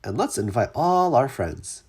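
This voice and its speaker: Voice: mid-range voice, very animated, unhurried, a little mellow, husky, exaggerated voice. A storyteller voicing a playful, impulsive, cheeky female duck in a children's storybook, bubbly and exclamatory. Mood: cheerful.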